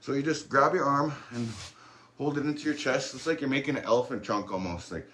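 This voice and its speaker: Man, fitness instructor, relaxed, encouraging, chatty, middle pitch. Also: brisk, slight background noise. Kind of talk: guided workout instruction.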